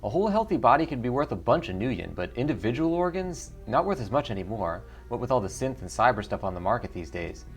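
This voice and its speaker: A man voicing a wry, matter-of-fact dwarf coroner in a video game, explaining things in a measured way. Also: mid-range voice, very animated, faint music behind. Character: dry, wry dwarf coroner, principled and solitary.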